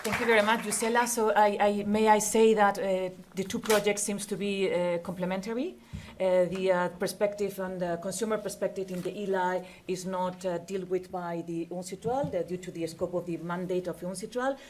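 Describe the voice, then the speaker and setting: A woman's voice: medium pitch, fairly even intonation, normal speed, a little hiss or hum. A woman moderating an academic conference panel and addressing the speakers and audience.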